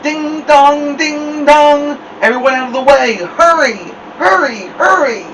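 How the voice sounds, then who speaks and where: A man voicing excitable storybook firemen and sailors, calling out urgently in drawn-out exclamations. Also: very high-pitched, pitched above the performer's own voice, heavily acted. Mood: urgent, excited. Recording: distant mic, dull sound, noisy background hum.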